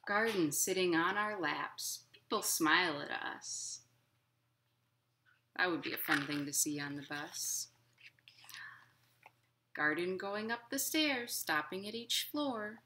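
A woman in her thirties hosting a storytime for young children at home, expressive and encouraging in simple words. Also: lowish voice, slow pace, long pauses, a little hiss or hum. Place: living room at home.

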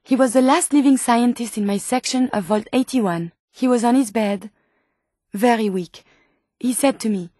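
A woman's voice: fairly high pitch, normal speed, mellow, no room sound, clean studio sound, lightly acted. A woman voicing a polite, earnest female robot scientist companion in a video game, with a French accent and precise, formal, inquisitive speech. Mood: reflective.

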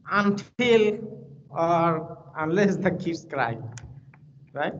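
A man with a Bangladeshi accent, English his second language, lectures a class over a video call. He rambles and repeats himself as he tells stories. His voice sits a little high, and the audio is dull and lo-fi with a faint hiss. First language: Bengali. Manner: rambling, repetitive, friendly storytelling. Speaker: university lecturer. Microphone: computer mic on a video call.

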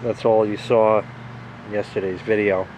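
A man's voice: on the low side, little pitch movement, normal speed, a little mellow, a little hiss or hum, rough recording. A man giving a casual, rambling walkthrough as he films home repairs, swearing freely with a wry, crude manner. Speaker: homeowner filming his plumbing repair.

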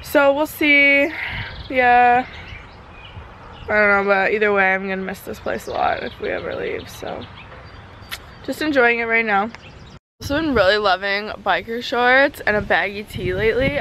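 A woman's voice: a little high, moderate pace, a bit bright. A woman in her twenties chatting casually and informally in a rambling vlog, recorded outdoors on a camera held at arm's length. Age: 20s. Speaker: lifestyle vlogger. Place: mostly outdoors in a leafy backyard, briefly a home kitchen. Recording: outdoor background noise, handheld camera.

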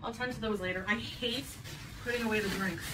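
A woman's voice: fairly high pitch, brisk. A woman vlogger chats in a rambling way as she unpacks groceries in her home kitchen. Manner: chatty, rambling, light laughs. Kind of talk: grocery haul vlog. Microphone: camera on the counter. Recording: distant camera mic, faint room hum, played back.

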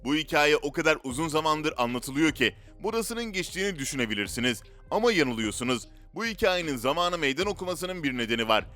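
Man, scripted narrator, bright forceful voice, lively intonation, dramatic storytelling. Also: on the high side, quick pace, hardly any pauses. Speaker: narrator of a list video. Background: background music.

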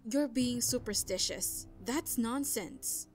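A woman's voice: on the high side, slow pace, faint music behind. A woman playing a dismissive, skeptical crew member in a fantasy audio drama.

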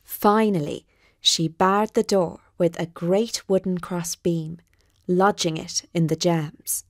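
A woman narrating a scripted bedtime story with measured, deliberate, dramatic phrasing. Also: lowish voice, fairly even intonation, no room sound, studio quality.

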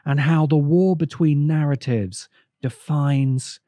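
A man narrating a scripted video essay in a measured manner, pausing for emphasis. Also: a little high, fairly even intonation, warm tone, no echo. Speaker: host and narrator. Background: music.

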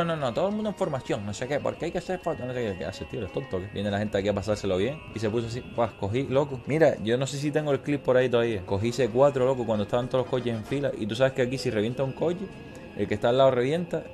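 A man talks quickly and casually, like a streamer mid-game. His manner is crude, slangy and joking, and faint music plays behind him. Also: medium pitch, darkish tone. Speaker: gaming streamer. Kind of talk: casual livestream chat and storytelling during gameplay. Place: small room at home, indoors.

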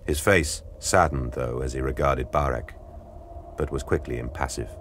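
A man narrating an audio drama in a grave, measured storytelling style. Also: extremely low pitch, a bit bright, slight background noise. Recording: studio narration over music. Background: dramatic music.

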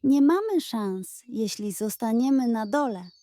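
A woman voicing a small, nervous but eager male hedgehog sidekick, earnest and excitable, in a children's story. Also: on the high side, measured pace, no echo. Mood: frightened.

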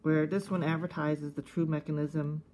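A woman giving casual, unscripted hands-on review commentary in a halting, conversational way, thinking aloud. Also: deep voice, little pitch movement, dark tone, rough recording. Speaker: host of a cassette collecting video channel. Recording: faint background noise, casual tablet recording.

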